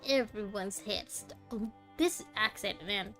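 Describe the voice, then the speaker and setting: A woman's voice: mid-range voice, slow pace, a bit bright. A woman streaming a video game, giving casual, reactive commentary as she muses informally on what she plays. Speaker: gaming streamer. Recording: game music behind the voice.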